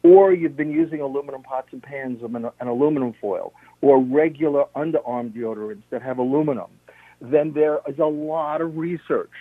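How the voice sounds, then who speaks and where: Male guest, instructive and methodical, husky tone on a narrow phone line, dry. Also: medium pitch, no echo. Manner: steady, instructive, lists points one by one. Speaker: health practitioner guest. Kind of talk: radio interview, explaining health tips.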